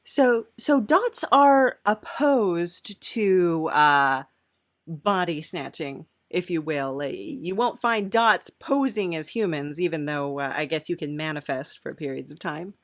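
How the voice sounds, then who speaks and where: A woman hosting a playful, casual comedy interview, asking questions in a hesitant, curious deadpan. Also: lowish voice, slow, no room sound, clean studio sound. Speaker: podcast host.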